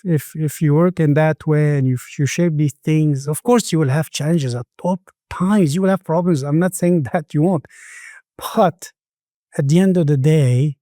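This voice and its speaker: Middle-aged man, smooth mellow voice. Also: on the high side, dry recording, studio quality. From Middle East and Sweden. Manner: hesitant, halting phrasing with repeated words. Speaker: podcast guest. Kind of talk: reflective interview conversation.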